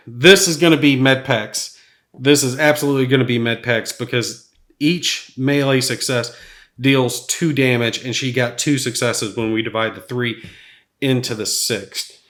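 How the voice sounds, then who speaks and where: A man with a slightly raspy voice from a cold, thinking aloud as he plays a solo board game. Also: medium pitch, unhurried, sharp tone. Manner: thinks aloud while rolling dice, chuckles at bad rolls.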